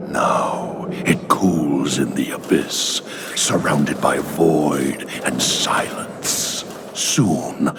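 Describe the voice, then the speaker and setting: A man's voice: extremely low pitch, vocal fry, noisy background, full character voice. An actor playing an ancient, imperious king of the dead in a video game, haughty, bitter and menacing. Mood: grim, menacing. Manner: slow, haughty, commanding. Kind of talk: dramatic video game acting. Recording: loud background music.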